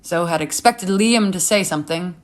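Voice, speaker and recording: A woman's voice: on the low side, moderate pace. A woman reading a scripted short story as its narrator.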